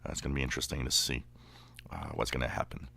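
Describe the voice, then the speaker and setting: A man with an extremely deep voice hosts his hobby channel. He explains things casually and without a script, in a halting flow broken by frequent pauses. The sound is dry, with no room echo. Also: lively intonation, gravelly, bright, forceful tone. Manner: halting, hesitant delivery with many pauses. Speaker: radio hobbyist channel host. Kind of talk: casual unscripted explainer commentary.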